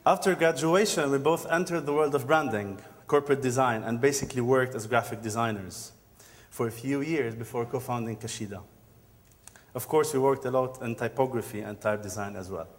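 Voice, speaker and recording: Male co-founder presenting at a conference; earnest lecture delivery, average pitch, long hall reverb. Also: fairly quick. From Dubai. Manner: earnest, lecturing with occasional fillers. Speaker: design studio co-founder. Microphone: handheld or podium microphone.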